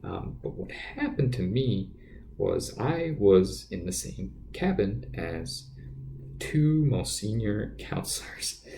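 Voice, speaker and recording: A man with a naturally quiet voice telling a personal story off the cuff as a podcast host, hesitant and often repeating his words. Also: lowish voice, very animated, takes it slowly, creaky, a little hiss or hum. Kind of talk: unscripted personal storytelling monologue.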